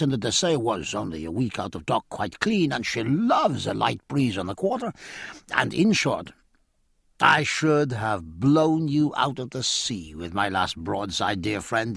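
A flamboyant, effusive naval captain gushes, as a male narrator voices him. The voice sits high and swoops widely, with a gravelly edge. It is close and dry, with no room sound. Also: no room sound, full character voice. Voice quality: raised pitch, lively and flamboyant. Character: effusive French naval captain, middle-aged man.

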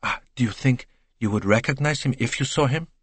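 A man voicing a calm, courteous middle-aged male detective who questions in a gentle, reassuring way in an audiobook. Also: medium pitch, brisk, slightly rough, dry recording, voice pushed up, close to an everyday voice. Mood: probing.

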